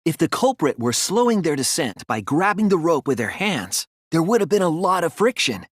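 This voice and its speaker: Casting: young student detective for anime-style game, husky, earnest, analytical, very expressive pitch. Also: male voice, no echo, clean studio sound. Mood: firm, accusing. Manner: deliberate, earnest, explanatory delivery with dramatic emphasis. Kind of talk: dramatic English game dub, deductive monologue.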